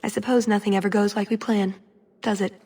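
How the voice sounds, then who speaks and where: A woman's voice: mid-range voice, level tone, fairly quick, mellow, breathy edge. A woman playing an earnest, wry young elf agent in a dramatic video game scene. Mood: wistful. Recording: music behind the voice.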